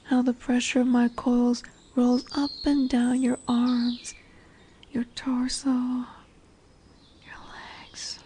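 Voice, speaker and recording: Lady playing a snake monster girl, asmr roleplay, high mellow voice, bossy but tender, whispery, birds in the background. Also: completely flat delivery, extremely slow, slight background noise. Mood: tender, possessive. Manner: soft, commanding, soothing, often dropping into whispers. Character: dominant, tender female lamia, snake-bodied forest monster. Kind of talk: scripted ASMR roleplay audio drama.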